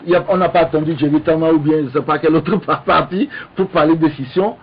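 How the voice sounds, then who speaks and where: A man, an opposition politician debating on the radio, halting with many restarts but insistent. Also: on the high side, slightly rough, dull audio. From Togo.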